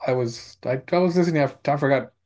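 A man chatting casually in a group, a little halting and repeating his phrases. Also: mid-range voice, a little mellow.

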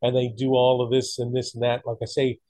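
A man's voice: medium pitch, monotone, fairly quick, mellow, no room sound. A man in casual conversation, talking in an opinionated, emphatic way. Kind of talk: casual chat.

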